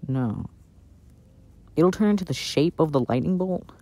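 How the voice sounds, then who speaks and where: A man doing a casual, intimate ASMR boyfriend roleplay in a soft-spoken, playful way, recorded outdoors. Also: a little high, expressive, measured pace, no room sound. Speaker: audio roleplay performer playing a boyfriend. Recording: outdoor recording with background noise. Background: outdoor ambience and noise.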